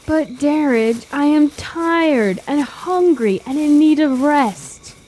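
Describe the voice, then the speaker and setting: Woman playing a high, soft, naive young traveler. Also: lively intonation, slow pace, low-quality recording. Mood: weary, questioning. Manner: wide-eyed, wistful, formal. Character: naive, yearning young female traveler, easily enchanted. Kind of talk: fantasy audio drama acting.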